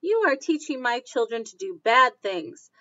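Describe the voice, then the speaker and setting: A woman's voice: fairly high pitch, very animated, normal speed, sharp tone, dry recording, muffled recording. A woman voicing a strict, scolding mother bird in a storybook read-aloud. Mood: stern scolding.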